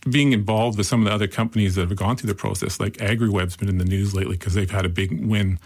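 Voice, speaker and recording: A man with a Canadian accent, a founder explaining his product in an interview with quick enthusiasm. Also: fairly low pitch, steady tone, a little mellow, breathy edge. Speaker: startup founder. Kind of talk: interview answers.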